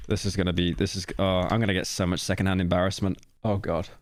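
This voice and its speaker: A man in his late twenties streaming a reaction video, talking casually and rambling, with self-deprecating asides and sudden loud outbursts. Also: sits low, flat delivery, fast talker. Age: late 20s.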